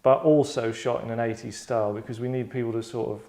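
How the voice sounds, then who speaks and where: A man's voice: medium pitch, steady tone, fast, mellow. Sardonic man hosting in a rambling way. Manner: sardonic, rambling, mock-dramatic. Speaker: host directing an actors' table read. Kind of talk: casual explaining to a group. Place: London.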